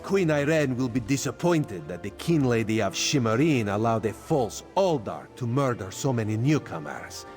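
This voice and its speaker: A man's voice: mid-range voice, very animated, normal speed, few pauses, over music, natural voice. An actor playing a sly male cat-folk spymaster who talks about himself in the third person, in a video game. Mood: wry.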